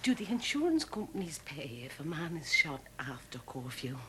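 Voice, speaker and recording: Fussy irish wife, sing-song voice, gossipy and coaxing, faint hiss. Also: female voice, sits low, sing-song, moderate pace, a bit bright. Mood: fretting.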